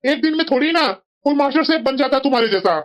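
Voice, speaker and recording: High-voiced grumbling old husband played by a woman. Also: expressive, fast. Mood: grumbling, scolding. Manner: scolding, reasoning. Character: grumbling, sensible elderly husband and father-in-law. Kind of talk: Hindi family cartoon voice acting.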